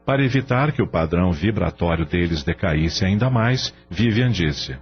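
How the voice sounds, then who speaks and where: A man's voice: deep voice, animated, moderate pace, lo-fi sound. A Brazilian man narrating a radio novel in a dramatic scripted style. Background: music.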